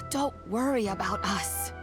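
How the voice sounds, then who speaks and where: A woman plays an old granny from a video game, speaking slowly at a medium pitch. She is calm and caring, gently advising, with music behind her. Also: natural voice. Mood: gentle, resigned. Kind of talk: video game character acting.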